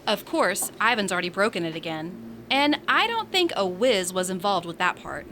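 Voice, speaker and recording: A woman's voice: mid-range voice, big pitch swings, moderate pace, pushed and bright, a little hiss or hum. A woman playing a teasing, feisty space station resident in a science fiction audio drama, full of sassy banter. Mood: playfully blunt.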